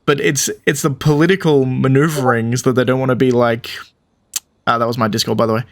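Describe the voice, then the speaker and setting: A man's voice: medium pitch, fairly even intonation, fairly quick. A man giving casual gameplay commentary, thinking aloud in a rambling way. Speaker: gaming streamer.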